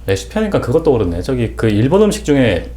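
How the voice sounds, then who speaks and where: A woman's voice: extremely low pitch, big pitch swings, quick pace, mellow. A woman co-host chatting casually and chiming in with comments. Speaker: podcast co-host. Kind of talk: casual chat.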